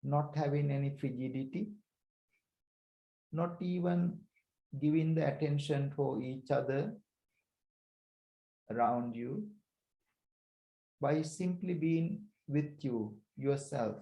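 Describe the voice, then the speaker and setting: A man leading a guided breathing meditation as a teacher, speaking calmly and deliberately in short phrases with pauses. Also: fairly high pitch, gravelly, highs cut off. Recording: roomy echo.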